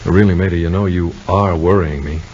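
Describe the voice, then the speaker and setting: An actor playing a calm, gently bossy man who soothes and coaxes in a 1950s radio soap opera. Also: low-pitched, brisk, close to an everyday voice. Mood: concerned, reasoning. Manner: soothing, coaxing, conversational. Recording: noisy, dull vintage radio recording.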